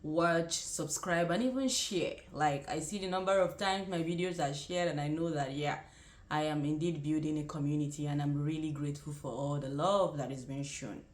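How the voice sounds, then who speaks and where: A woman's voice: deep voice, fairly even intonation, moderate pace. A young woman from Nigeria with a Nigerian accent, chatting casually as a vlogger giving advice, often circling back to rephrase as she explains. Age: young adult. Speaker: vlogger and nursing student. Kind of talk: casual direct-to-camera advice chat. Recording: roomy, echoing sound.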